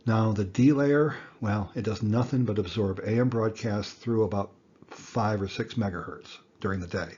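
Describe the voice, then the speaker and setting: A man giving an informal explanatory lesson as an instructor, speaking deliberately and emphatically with rhetorical questions. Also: lowish voice, darkish tone, breathy edge, lo-fi sound. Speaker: instructor, amateur radio teacher.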